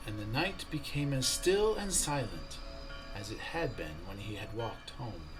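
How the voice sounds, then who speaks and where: Male radio play narrator over music. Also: mid-range voice.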